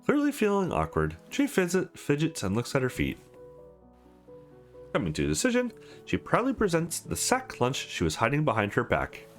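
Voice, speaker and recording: A man hosting a let's play, reading game script aloud in an expressive, sing-song way and adding brief reactions. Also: fairly high pitch, moderate pace, faint music behind. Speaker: let's play host reading visual novel dialogue aloud.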